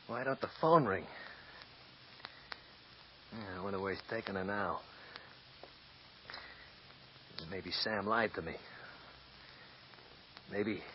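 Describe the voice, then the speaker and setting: A man's voice: medium pitch, a little hiss or hum, close to an everyday voice. An actor playing a nervous, tipsy small-time male accomplice who stammers and wheedles, in 1940s radio acting. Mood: anxious. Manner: stammering, wheedling then snarling. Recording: dull lo-fi old radio recording.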